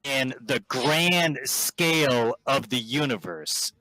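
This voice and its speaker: A man speaking as a vegan activist in a voice-chat debate, halting with frequent restarts and ums. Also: medium pitch, lively intonation, pushed and bright, rough recording. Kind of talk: debating on a voice call. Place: online voice chat.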